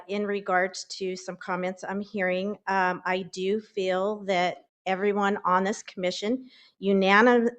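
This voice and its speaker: A woman speaks during public comment at a meeting, pressing her points with emphasis and open exasperation. Her voice sits at an ordinary middle pitch. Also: a bit bright.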